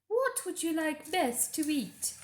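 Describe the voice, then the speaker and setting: A woman voicing a scheming, falsely sweet evil queen in a storybook reading, coaxing in a slow, regal manner. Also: sits high, very animated, voice pushed up, heavily acted. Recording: roomy echo.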